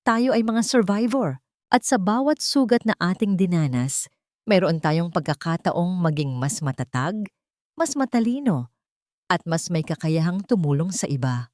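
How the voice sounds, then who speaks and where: Narrator as resilient Filipino woman leader, animated intonation. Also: fairly low pitch, a little slow, no room sound, studio quality. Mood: hopeful, powerful. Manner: earnest, empowering. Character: resilient, grateful woman doctor and CEO, inspiring leader.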